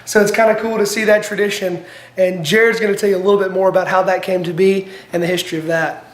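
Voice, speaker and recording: A man's voice: sits high, little pitch movement, fast. A man, giving a house tour in a casual video submission. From Alabama. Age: 20s. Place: outdoors and in a house.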